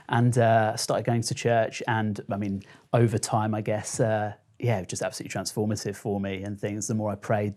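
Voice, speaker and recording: A man's voice: on the low side, a little mellow. Easygoing man from Kent. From Kent, England. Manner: easygoing, with frequent "uh" and "yeah". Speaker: guest, content creator. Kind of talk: relaxed conversation.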